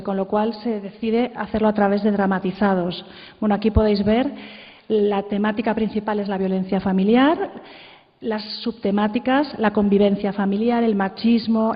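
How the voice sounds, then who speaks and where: A woman with a Castilian Spanish accent giving an informal, explanatory conference presentation, often adding tag questions. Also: medium pitch. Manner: informal, explanatory, seeks agreement with tags. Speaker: conference speaker. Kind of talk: presentation with slides. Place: large indoor conference room. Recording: muffled, reverberant hall.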